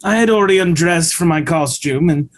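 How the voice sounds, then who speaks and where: A man's voice: a little high, fairly quick, lightly acted. An actor playing a vain, flamboyant male ballet dancer telling a gossipy story at a script table read.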